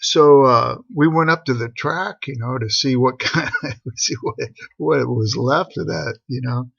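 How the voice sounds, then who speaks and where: Middle-aged male storyteller, laid-back and slangy, gravel in the voice, dull lo-fi stream sound. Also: medium pitch, measured pace, fairly bright tone, no echo. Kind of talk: casual rambling personal anecdote. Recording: dull, boxy lo-fi live stream audio.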